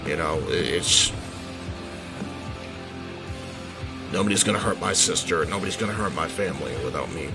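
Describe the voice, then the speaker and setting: A brooding, bullied teenage son played by a man in an audio drama. His voice is very deep and creaky, and he explains himself in halting, earnest phrases, defensive and protective of his family, with music underneath. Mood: defiant, protective. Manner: halting, hesitant, earnest confession. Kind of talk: amateur audio drama roleplay.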